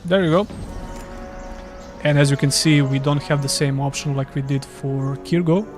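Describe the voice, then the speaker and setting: Chatty Serbian-accented gaming streamer. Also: male voice, a little high, steady tone, moderate pace, music in the background. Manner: chatty, self-deprecating, thinks aloud. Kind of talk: casual live gameplay commentary.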